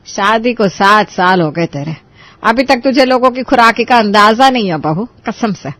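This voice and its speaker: A woman's voice: mid-range voice, lively intonation, normal speed, fairly bright tone, no room sound, close to an everyday voice. A woman playing a bossy, complaining, food-loving middle-aged mother-in-law in a story. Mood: grumbling.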